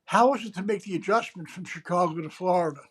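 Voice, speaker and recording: A man's voice: high voice, fast talker, fairly bright tone, slightly rough. A man co-hosting a casual livestream chat, eager and talkative, often addressing his partner by name. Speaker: co-host.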